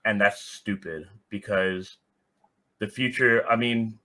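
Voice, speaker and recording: Male podcast guest, lowish pitch, bright forceful edge, blunt and casual. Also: steady tone, slow pace. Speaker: podcast guest, soccer fan. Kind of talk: casual opinionated talk.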